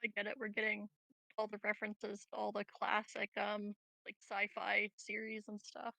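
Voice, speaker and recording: A woman with a very bright, forceful voice that has noticeable vocal fry. She speaks casually as a tabletop player, with little pitch movement, on a dry close recording. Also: monotone, moderate pace, dry recording, poor audio. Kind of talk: casual game chat.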